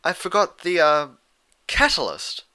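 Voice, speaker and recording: A bookish young mare, played by a man, with a raised, bright voice. The unicorn sounds fussy and a little awkward, hesitating as she explains in this cartoon storybook reading. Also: a little high, wildly swooping pitch, unhurried, pitched above the performer's own voice, full character voice. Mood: flustered, annoyed. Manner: hesitant, filler-laden explaining.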